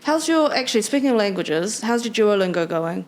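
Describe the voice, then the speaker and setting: A young woman hosts a relaxed podcast chat. She talks easily, jumping in with lively agreement and follow-up questions in a mid-range voice. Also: medium pitch, fairly quick. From New Zealand. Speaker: podcast host. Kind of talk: casual interview chat.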